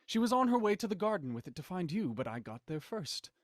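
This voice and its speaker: An actor playing a smug, menacing villain in an audio drama, mocking, theatrical and condescending in manner. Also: male voice, high voice, very animated, fast talker, dry recording, studio quality. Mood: scornful. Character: smug, menacing male villain, cold culprit and puppet-master writer.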